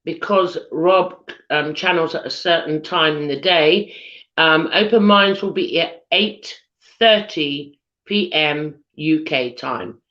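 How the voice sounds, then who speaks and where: A British woman hosting a live chat show on a video call, husky from losing her voice, chatty with lots of ums. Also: low-pitched, slow pace, a bit bright. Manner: chatty, giggly, many ums. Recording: dull lo-fi video call audio.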